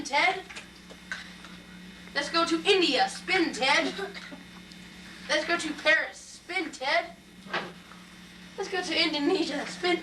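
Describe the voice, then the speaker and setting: Puppet show traveler, played by a woman: excited, chanting, high and slow, roomy distant recording. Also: exaggerated voice. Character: eager traveler calling out trips, excited, chanting. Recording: roomy, distant mic, faint noise.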